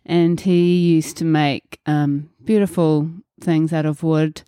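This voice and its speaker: A New Zealand woman in her forties talks about her life in a warm, mellow, slightly low voice. She speaks casually and reflectively with frequent fillers. The recording is close and dry. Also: flat delivery, takes it slowly, clear, resonant tone, no echo. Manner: friendly, reflective, many fillers. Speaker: podcast host being interviewed. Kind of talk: casual conversational interview.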